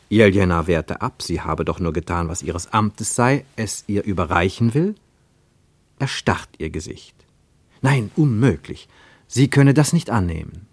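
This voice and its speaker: A man narrates a literary radio play in a fairly low voice. The delivery is measured and precise, shaped with a storyteller's expression. The sound is very dry, with no room around the words. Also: a little mellow, no echo.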